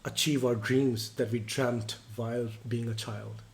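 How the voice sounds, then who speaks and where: A man's voice: medium pitch, fairly even intonation, a little slow. A man whose first language is Urdu, hosting a music reaction video and reviewing a song in casual chat, with frequent fillers and restarts as he thinks aloud.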